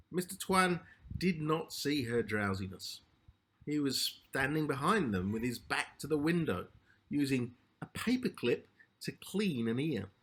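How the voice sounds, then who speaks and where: A man narrating a literary short story as its author, in measured, deliberate phrases with a slightly husky voice. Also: medium pitch, a bit bright.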